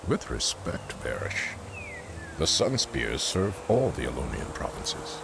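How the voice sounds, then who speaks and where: An actor playing a grave, principled male general in a fantasy game, gravelly, formal and deliberate. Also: very deep voice, very animated, noisy background, exaggerated voice. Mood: grave, respectful. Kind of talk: scripted fantasy game acting.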